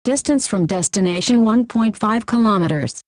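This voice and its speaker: A woman voicing a clinical AI implant that reports data in a flat, factual, clipped manner for an audio story. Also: medium pitch, moderate pace, no room sound, close to an everyday voice. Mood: impassive. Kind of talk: scripted audio story character.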